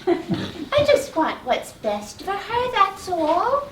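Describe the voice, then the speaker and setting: A woman playing a fussy, chatty goddess wife and doting aunt in a live stage comedy, with gushing, drawn-out phrasing. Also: very high-pitched, wildly swooping pitch, exaggerated voice. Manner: gushing, solicitous, drawn-out exclamations. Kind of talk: live one-act stage comedy acting. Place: stage of a small playhouse. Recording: distant echoey theatre, clear background noise.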